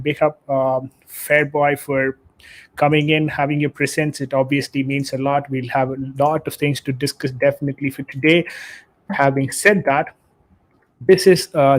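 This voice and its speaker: A man hosting a casual live football stream, reading out chat messages with many fillers. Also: medium pitch. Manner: reads chat messages aloud, hesitant with fillers.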